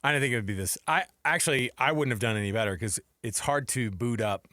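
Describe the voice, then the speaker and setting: A man's voice: mid-range voice, quick pace, sharp tone, no room sound. Male American radio host, casual sports banter, conversational phrasing, mid-range voice, very dry close sound. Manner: chatty, colloquial. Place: radio studio. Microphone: close studio microphone.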